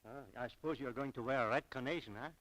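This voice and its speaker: An actor playing a wry, teasing shop clerk who is a friend and confidant, in 1940s radio style. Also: male voice, medium pitch, fast talker, fairly bright tone, dry recording, natural voice, poor audio. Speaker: radio actor in supporting part. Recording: vintage 1940s radio broadcast.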